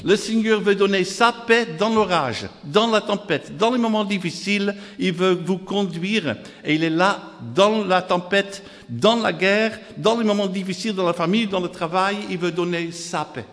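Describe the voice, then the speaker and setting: Dutch-accented preacher in a reverberant hall. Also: male voice, high-pitched, normal speed, few pauses, fairly bright tone. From Netherlands. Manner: reads scripture aloud in quotes; repeats phrases in rhythmic lists. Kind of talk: sermon.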